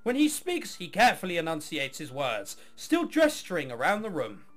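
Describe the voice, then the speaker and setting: Animated high-pitched man reading a game aloud. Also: normal speed, faint music behind. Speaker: video game let's player. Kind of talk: reading a visual novel aloud with casual commentary.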